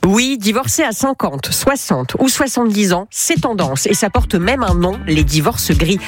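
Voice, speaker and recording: A woman's voice: mid-range voice, very animated, normal speed, over music. Playful woman radio columnist. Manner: playful, teasing delivery. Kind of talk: humorous radio chronicle.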